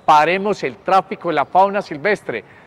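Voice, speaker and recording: A man's voice: sits high, normal speed. A man with a Colombian accent giving a formal public appeal as an official. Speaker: environmental authority director.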